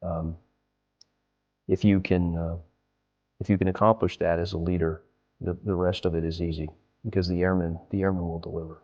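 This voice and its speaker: Low, even-toned American man, slightly muffled. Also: moderate pace, long pauses. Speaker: military commander. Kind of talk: documentary interview. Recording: dull, muffled sound.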